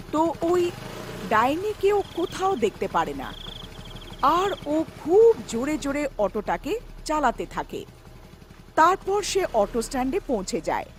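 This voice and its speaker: Slow, high-voiced woman storyteller. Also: a little hiss or hum. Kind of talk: scripted horror folktale narration.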